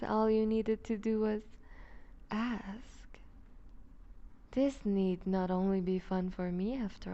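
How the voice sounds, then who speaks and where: A woman playing a seductive, possessive vampire duchess in an ASMR roleplay, speaking with deliberate, teasing condescension and breaking into whispers. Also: mid-range voice, warm tone, lo-fi sound. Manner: slow, deliberate, purring condescension with whispered phrases. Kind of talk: ASMR roleplay audio drama.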